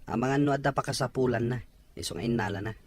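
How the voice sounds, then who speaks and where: A man's voice: medium pitch, fairly even intonation, fast, natural voice. A man with a Filipino accent playing a kindly, protesting son in an Ilocano audio drama. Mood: pleading.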